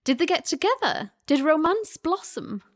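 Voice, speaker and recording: Woman as a keen young romantic girl, high voice, eager and questioning, radio play. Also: sing-song, normal speed. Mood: eager, delighted.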